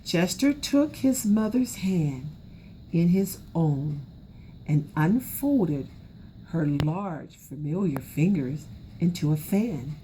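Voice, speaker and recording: A woman's voice: on the low side, animated, takes it slowly, mellow, a little hiss or hum, poor audio. A woman narrating a children's storybook aloud expressively, as an educator would.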